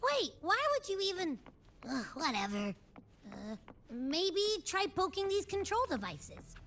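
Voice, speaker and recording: A woman voicing a small, sassy, curious girlish companion in a video game, expressive and huffy. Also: very high voice, measured pace, heavily acted. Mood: reluctant, huffy. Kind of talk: scripted game dialogue.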